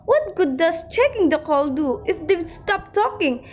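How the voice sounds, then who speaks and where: A woman's voice: extremely high pitch, big pitch swings, a little slow, a little mellow, exaggerated voice. A woman playing an agitated, imperious invalid housewife in an amateur radio drama, insistent and indignant. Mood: fretful, demanding. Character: panicky, imperious, demanding invalid housewife, shrill and impatient.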